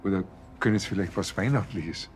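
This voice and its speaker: An actor playing a slow, naive, argumentative Bavarian village policeman in a dry police comedy. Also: low-pitched, natural voice. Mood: puzzled. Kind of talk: dry comic dialogue. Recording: echoey church hall, music behind.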